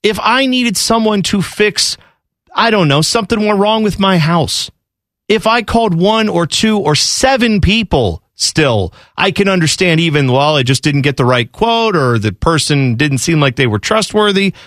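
A man's voice: high-pitched, expressive, normal speed, fairly bright tone, no echo, clean studio sound. A man co-hosting a sports talk show, arguing his view casually and point by point with everyday examples.

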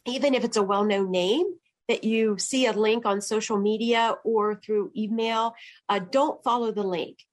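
A woman's voice: a little high, steady tone, moderate pace. Woman consumer-advice spokesperson, filler-heavy interview speech. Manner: lots of uh and um fillers.

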